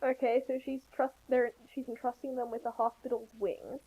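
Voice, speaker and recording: High girly-pitched woman, bit lo-fi mic, wry joking commentary, kinda halting and exasperated. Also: little pitch movement. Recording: slightly dull lo-fi voice channel.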